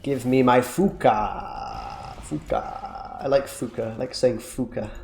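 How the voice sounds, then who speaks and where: A man's voice: mid-range voice, sing-song, takes it slowly, creaky, background noise. A young man streaming a card game, chatting casually and joking as he reacts aloud to each play. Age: young adult. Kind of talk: casual gameplay commentary. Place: small room indoors.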